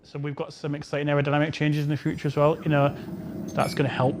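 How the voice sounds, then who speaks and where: A man's voice: monotone, fast. A man speaking casually as a game developer guest on a panel, informal and rephrasing as he goes. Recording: very loud engine rumble over voice.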